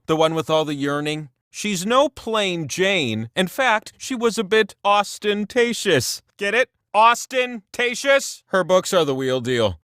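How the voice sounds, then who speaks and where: An actor voicing a boastful, petty, all-powerful talking wheel, hosting a comedic quiz game with theatrical delivery and chatty, wry asides. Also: male voice, a little high, a bit bright, no echo, clean studio sound.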